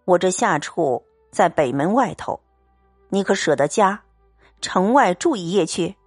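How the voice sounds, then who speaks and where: A woman's voice: fairly low pitch, animated, measured pace, husky, no echo, faint music behind. Liu Xianglian, a woman doing a cold young swordsman. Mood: scheming, coaxing. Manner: curt, coldly sneering.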